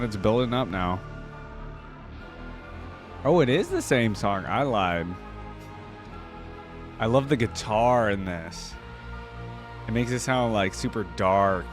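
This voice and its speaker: Low-voiced man reacting casually, music behind. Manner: enthusiastic, conversational, thinking aloud. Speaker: reaction video creator. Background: background music.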